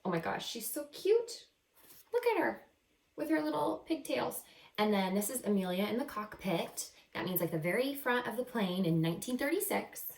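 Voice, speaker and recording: A woman reading a picture book aloud to children as a teacher, expressive and encouraging, pausing to explain words simply. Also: fairly high pitch, vocal fry. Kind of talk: animated children's read-aloud with simple asides. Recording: roomy echo, distant microphone.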